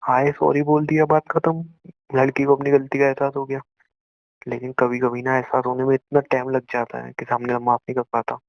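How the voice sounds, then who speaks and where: A heartbroken young man, acted by a man in an emotional call drama, bitter and sarcastic toward his ex. His delivery stays in a narrow, even range and keeps circling back to the same wounded phrases. The recording is dull and dry, like a saved call. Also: medium pitch, brisk, gravelly, dry recording, natural voice. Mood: heartbroken reproach. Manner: wounded, sarcastic, repeats phrases with rhetorical tags. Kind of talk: dramatised emotional phone-call dialogue in Hindi.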